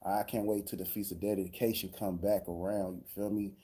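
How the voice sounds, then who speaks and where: A man's voice: low voice, little pitch movement, fairly quick, dark tone, breathy edge. A man hosting a livestream, chatting loosely between songs with plenty of "you know" fillers. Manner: loose, laid-back talk with many fillers. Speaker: livestream host. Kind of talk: casual chat between songs.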